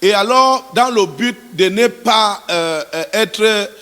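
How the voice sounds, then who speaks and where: A man from Cameroon's anglophone southwest, preaching a testimony through an interpreter, halting with many restarts and emphatic appeals. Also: high voice, bright, forceful tone, clear, resonant tone. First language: English. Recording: long church hall reverb.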